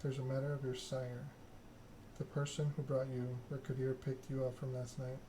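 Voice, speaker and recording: Male ASMR game reader, measured and hushed, very even intonation, noticeably noisy recording. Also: medium pitch. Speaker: video game player reading the story aloud. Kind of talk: ASMR game read-along, narration with dialogue.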